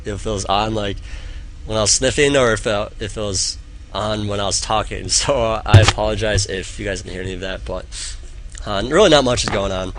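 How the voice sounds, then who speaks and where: A man's voice: fairly low pitch, background noise. A young man with a nasal, stuffed-up voice from a cold, casually coaching through a game replay on a live stream, rambling with lots of fillers. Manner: rambling, filler-heavy, encouraging.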